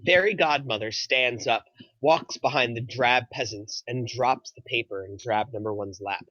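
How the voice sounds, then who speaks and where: A man narrates a screenplay's stage directions in a bright, forceful voice at medium pitch. The audio is dry and a little dull, like a lo-fi stream. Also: normal speed, no room sound.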